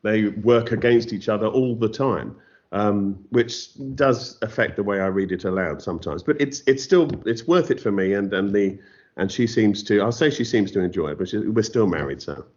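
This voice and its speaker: A man's voice: fairly low pitch, moderate pace, a little mellow. A man with an English accent, a novelist being interviewed, who talks in a thoughtful, self-deprecating way with many restarts. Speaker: novelist guest. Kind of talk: interview conversation. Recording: slightly dull lo-fi audio.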